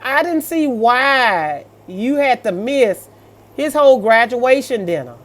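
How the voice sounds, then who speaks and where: A woman's voice: fairly high pitch, expressive, slow pace. Sassy, motherly woman. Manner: motherly, sassy, colloquial, addressing listener as baby. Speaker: host of a story-reaction show. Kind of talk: casual reaction commentary, reading stories aloud.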